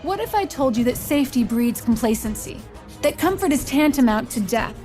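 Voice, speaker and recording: A woman playing a solemn, commanding visionary mentor in a fantasy game, speaking in a measured, portentous, rhetorical way. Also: high voice, expressive, warm tone, soft background music. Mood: solemn, persuasive. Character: solemn, commanding female visionary mentor recruiting chosen leaders. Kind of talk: scripted fantasy game voice lines.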